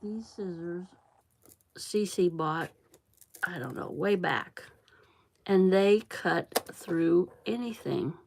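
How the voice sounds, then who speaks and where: A woman's voice: lowish voice, slow pace, pauses often, darkish tone. A middle-aged woman from Central Illinois, with that region's accent, chatting and rambling while she works through a craft project on a livestream. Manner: rambling, chatty, laughs often, mutters to herself. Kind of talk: casual chat while crafting.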